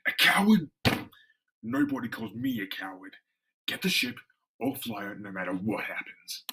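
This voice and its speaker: A man voicing a gruff, hot-headed pilot in a dramatic comic-book reading, blunt and combative. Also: medium pitch, huge pitch swings, moderate pace, bright, forceful tone, heavily acted, low-quality recording. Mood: angry, defiant.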